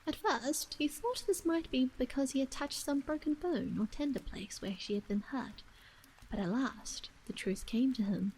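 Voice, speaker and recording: A woman reads an old novel aloud as a bedtime ASMR storyteller, in a soft, breathy voice that often slips into a whisper, gentle and hushed, with frequent pauses. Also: high voice, slight background noise, rough recording. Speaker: storyteller and streamer reading aloud.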